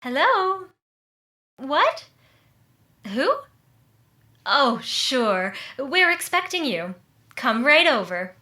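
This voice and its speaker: A woman acts out a nosy, helpful pal from a 1940s radio comedy. Her bright, fairly high voice moves with lively swings and a coaxing, conspiratorial manner. The sound is echoey, as in a big room. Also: drawn-out words, pauses often, heavily acted. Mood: brisk, bright. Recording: roomy, echoey stage-like sound.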